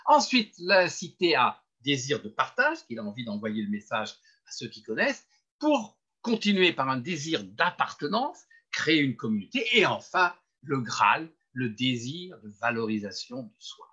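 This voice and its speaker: A man's voice: medium pitch, big pitch swings, normal speed, pushed and bright, slightly rough. An older man from France giving a webinar presentation on marketing, lecturing emphatically with self-deprecating humor. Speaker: webinar speaker. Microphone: webinar computer mic. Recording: muffled lo-fi webinar audio.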